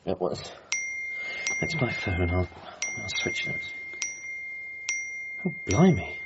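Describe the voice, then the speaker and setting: A man's voice: low-pitched, very animated, slow, highs cut off, heavy background noise, exaggerated voice. A man acting an agitated, stammering, grieving son who is convinced of an alien conspiracy in a radio comedy drama. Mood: subdued, resolute. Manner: stammering, insistent.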